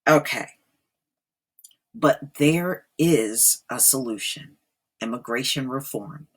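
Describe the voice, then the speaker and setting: Husky, slow-talking woman with low voice. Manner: emphatic, repeats points for stress, addresses listeners directly. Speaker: online commentator and host. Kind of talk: reading an article aloud with off-the-cuff commentary.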